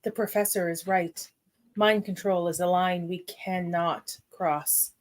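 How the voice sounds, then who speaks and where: A woman's voice: lowish voice, fairly even intonation, slow. Woman playing a formal, reassuring superheroine. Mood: composed. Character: principled, encouraging female superhero. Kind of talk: radio-play script reading.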